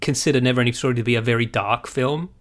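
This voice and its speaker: A man co-hosting a podcast in casual movie chat, telling things in an animated, sweary way and checking in with his listener. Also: medium pitch, rapid-fire. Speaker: podcast co-host.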